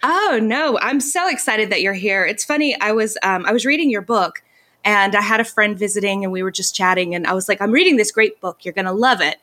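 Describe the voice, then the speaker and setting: A woman's voice: mid-range voice, bright, forceful tone. A woman hosting a podcast, enthusiastic and eager, with frequent ums as she introduces her guest. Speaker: podcast host.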